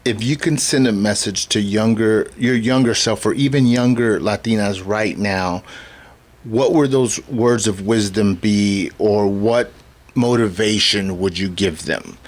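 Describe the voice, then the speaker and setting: A man's voice: lowish voice, a little slow. A man hosting an interview podcast who asks questions in an affirming way and often says "you know". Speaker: podcast host.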